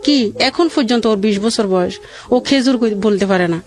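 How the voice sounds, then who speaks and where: A middle-aged woman with a colloquial regional Bangladeshi accent tells her own life story in a halting, rambling, plaintive way. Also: mid-range voice, a little mellow, soft background music. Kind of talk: emotional personal storytelling.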